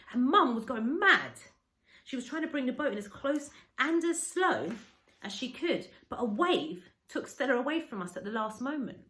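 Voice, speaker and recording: A woman teacher reading a children's storybook aloud with an expressive, dramatic storytelling delivery. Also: high-pitched, echoey room. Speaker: schoolteacher.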